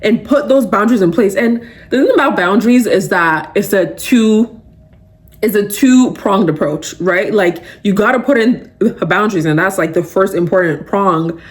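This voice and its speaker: Lively young woman giving pep talk. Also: medium pitch, normal speed. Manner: animated, emphatic, conversational with many fillers. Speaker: podcast host. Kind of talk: casual motivational talk.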